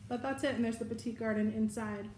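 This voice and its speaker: A woman's voice: a little high, fairly even intonation, fast, mellow, a little hiss or hum. Chatty woman reviewing bags, echoey room. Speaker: vlogger showing a shopping haul. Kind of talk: casual product review. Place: living room at home, indoors. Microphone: fixed camera at table distance.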